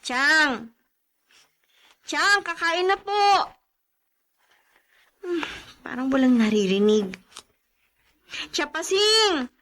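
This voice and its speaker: An actress playing a patient, weary young wife in a radio drama. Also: female voice, high voice, sing-song, drawn-out words, long pauses, pushed and bright, full character voice. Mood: coaxing.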